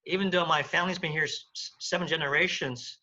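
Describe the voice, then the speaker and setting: A man's voice: a little high, fairly even intonation, fast talker, bright, forceful tone. A man from the United States earnestly praising an artist, stumbling over repeated words. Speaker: audience member. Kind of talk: casual comment. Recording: dull lo-fi sound.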